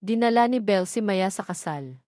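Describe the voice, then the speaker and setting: A woman's voice: mid-range voice, animated, moderate pace, dark tone, no echo, studio quality. A woman delivering scripted narration for an audio drama story.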